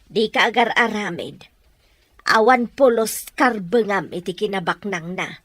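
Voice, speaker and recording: A woman's voice: mid-range voice, a little slow, fairly bright tone, no room sound. A woman playing a principled older sister in an audio drama, protesting and scolding. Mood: indignant. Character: principled older sister refusing a dishonest scheme. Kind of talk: amateur audio drama acting.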